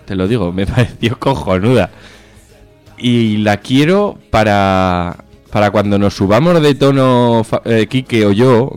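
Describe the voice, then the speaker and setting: A man's voice: on the low side, darkish tone. A man with an accent from Spain co-hosts a casual radio chat show in an informal, jokey way, swearing freely. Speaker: co-host of a music and chat show.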